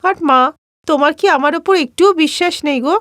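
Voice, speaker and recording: A woman's voice: high voice, a little fast, fairly bright tone, no echo, clean studio sound. A woman voicing a confident, cheeky young daughter-in-law in a cartoon story, chatty and cajoling.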